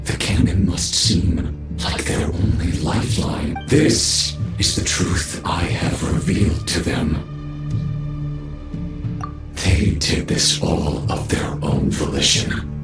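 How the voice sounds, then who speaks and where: An actor voicing a grandiose, undying video game villain with a theatrical, haughty and menacing delivery. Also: male voice, deep voice, slow, vocal fry, exaggerated voice. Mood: haughty contempt. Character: grandiose undying male villain, reborn from scattered fragments. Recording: echoing voice over game music.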